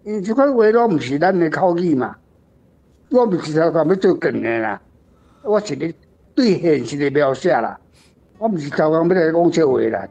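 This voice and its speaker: A man's voice: a little high, expressive, normal speed, creaky, dark tone. An older man speaking as a guest author, explaining and reminiscing informally. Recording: dull lo-fi sound.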